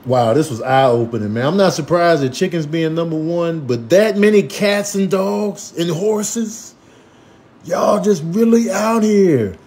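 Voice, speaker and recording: Guy doing funny voiceovers, slangy trash talker, super animated and high pitched. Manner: slangy, exclamatory trash talk. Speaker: voiceover comedian. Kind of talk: comic animal-video voiceover, improvised commentary.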